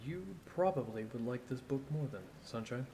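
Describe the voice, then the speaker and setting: A man's voice: medium pitch, expressive, moderate pace, mellow, a little hiss or hum, close to an everyday voice, rough recording. An actor playing a wry, scruffy injured man with a teasing, informal manner in naturalistic audio drama.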